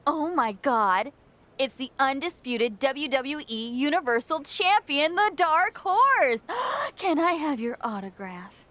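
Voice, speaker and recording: A woman's voice: high-pitched, sing-song, slow pace, a bit bright, full character voice. A woman playing a brash, teasing indie wrestling promoter in a video game, sarcastic and exaggerated. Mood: mock fawning.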